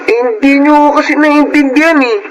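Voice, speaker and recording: An actor playing a proud, resentful young son of poor farmers in a Filipino radio drama, with an emotional delivery. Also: male voice, very high-pitched, steady tone, moderate pace, background noise. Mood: defiant, frustrated. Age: young adult. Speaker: lead actor and first-person narrator. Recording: prominent music bed. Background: music.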